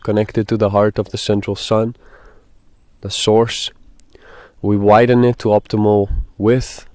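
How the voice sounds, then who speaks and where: Guy doing guided meditation, really flat delivery, dry close sound, halting phrases. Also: on the low side, no echo.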